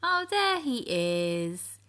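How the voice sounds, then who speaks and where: A woman reading a storybook to young children in an animated, expressive manner, addressing her listeners directly. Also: fairly high pitch, very slow.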